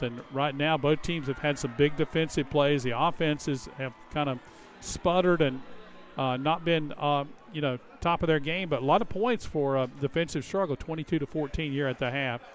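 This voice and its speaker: Slightly high-voiced American color commentator. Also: male voice, normal speed. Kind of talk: conversational live football analysis. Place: outdoor stadium.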